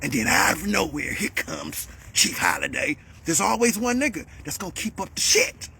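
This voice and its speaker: A man delivering a heated, repetitive monologue as a vlogger, calling out a rival and swinging up to shouts. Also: on the high side, huge pitch swings, moderate pace, vocal fry, slightly rough.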